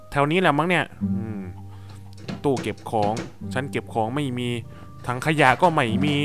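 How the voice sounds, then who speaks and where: Man plays nervous noob villager, mumbles aloud, slightly high voice, music bed. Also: a bit bright. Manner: talks to himself, nervous and muttering. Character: clumsy noob villager man, jittery and chatty. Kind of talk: Thai cartoon dub of game footage. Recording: music playing under voice. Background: background music.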